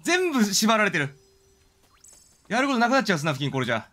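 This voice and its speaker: Male game streamer, lively and swooping pitch, theatrical joking asides, music underneath. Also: fast talker. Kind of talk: joking gameplay commentary, reading game dialogue aloud.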